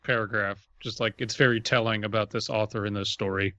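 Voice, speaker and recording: A man co-hosting a podcast, chatting casually with deadpan jokes and critical asides. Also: fairly low pitch, brisk, dry recording, muffled recording. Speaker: podcast co-host. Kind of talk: casual joking chat and critique.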